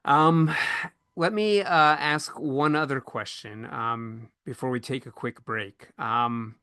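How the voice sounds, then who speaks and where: A man's voice: mid-range voice, fairly even intonation, slow, pushed and bright, clear, resonant tone, no echo. A man hosting a casual panel discussion, feeling his way through his phrasing as he talks. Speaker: podcast host moderating a panel.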